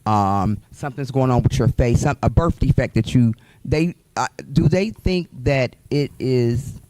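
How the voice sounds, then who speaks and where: Male host, direct questioning, mid pitch, dry sound. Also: measured pace, dark tone, no echo. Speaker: interviewer. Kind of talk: live-show interview.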